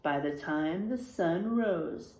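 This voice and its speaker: Expressive woman storyteller in an echoey room. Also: on the low side, takes it slowly. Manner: dramatic, animated. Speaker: storybook reader. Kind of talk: expressive children's read-aloud narration. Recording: roomy, echoing sound.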